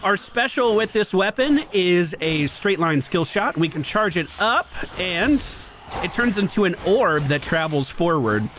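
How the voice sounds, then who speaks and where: A man's voice: sits high, animated, unhurried, a bit bright, a little hiss or hum. A man hosting a gaming channel, giving upbeat, playful live commentary as he plays. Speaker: gaming host.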